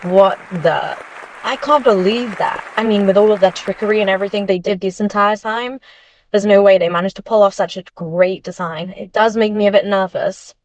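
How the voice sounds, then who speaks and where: A woman playing a confident, supportive teenage girl model in an animated school drama. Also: mid-range voice, normal speed, no room sound, a faint crowd, close to an everyday voice. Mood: determined. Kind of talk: animated game-series dialogue.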